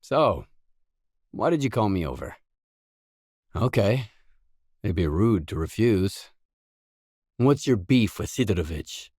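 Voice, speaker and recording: An actor playing a weary, laconic stalker in a video game, a hardened loner with a terse, guarded, deadpan delivery. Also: male voice, fairly low pitch, slow, long pauses, husky, no room sound, studio quality, heavily acted. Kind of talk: isolated game dialogue lines.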